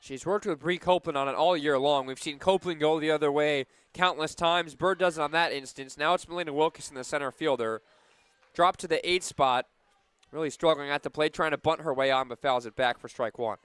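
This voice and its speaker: A man doing live play-by-play for a softball radio broadcast outdoors, punchy on big plays and conversational between them. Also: on the high side, brisk, clean tone, dry recording. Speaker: play-by-play sports announcer.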